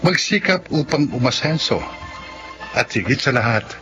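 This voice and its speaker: Male radio drama announcer, lively dramatic read, music playing behind. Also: medium pitch, moderate pace, rough recording. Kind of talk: scripted dramatic narration.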